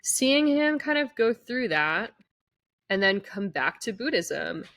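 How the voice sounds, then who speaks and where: A woman's voice: mid-range voice, sing-song, slow pace, fairly bright tone, no echo. A woman guest on a podcast telling a personal family story in casual conversation, often saying "like" and "you know.".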